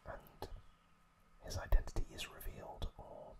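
A man speaks entirely in a whisper, at a very unhurried pace. He is an ASMR host recapping a story, breaking it into short phrases with hesitant pauses.

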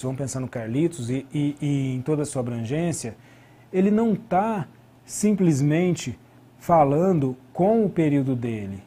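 A man's voice: a little high, warm tone, slightly rough. A middle-aged Brazilian man giving an online academic lecture as a historian, explaining through rhetorical questions. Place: indoor studio at a desk.

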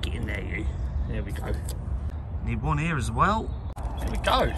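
English bloke trucker vlogging, chatty n self-mocking, voice goes up and down, engine and yard noise. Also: male voice, medium pitch, very animated, normal speed. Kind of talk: casual vlog chat to camera. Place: lorry cab and loading yard. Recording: clear vehicle and outdoor noise.

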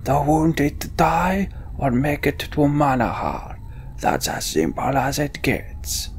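A man voicing a gruff, commanding warrior leader in a video game, blunt and forceful. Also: fairly high pitch, big pitch swings, slow pace, slight background noise, pitched above the performer's own voice, full character voice. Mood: grim, commanding. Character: gruff commanding male warrior leading a death march.

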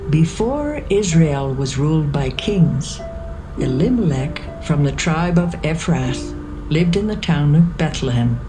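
A woman narrating scripture from a script in a measured storytelling manner. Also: sits low, poor audio. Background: music.

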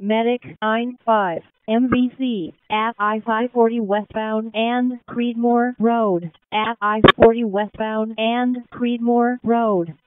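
A woman's voice: a little high, fairly even intonation, slow pace, few pauses. A woman working as an emergency dispatcher, making clipped, repetitive procedural announcements over radio. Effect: radio transmission. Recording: noisy, narrow-band emergency radio with clicks.

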